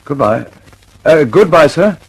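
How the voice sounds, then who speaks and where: An actor playing a harried, determined British aircraft engineer in a radio drama, urgent and insistent, stammering slightly when flustered. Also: male voice, fairly high pitch, animated, a little slow, background noise. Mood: flustered, deferential. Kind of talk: mid-century radio drama acting.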